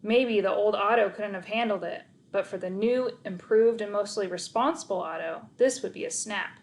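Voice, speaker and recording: A woman narrating a children's story aloud in an expressive read-aloud style. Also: mid-range voice, moderate pace.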